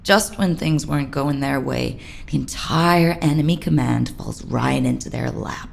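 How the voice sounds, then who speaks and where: A weary woman captain, her low voice heavy with fry and slow to come. She sounds bitter and self-reproaching, a soldier haunted by survival in a dramatic game cutscene. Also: heavily acted. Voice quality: low, gravelly. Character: weary, bitter female military captain, guilt-ridden survivor.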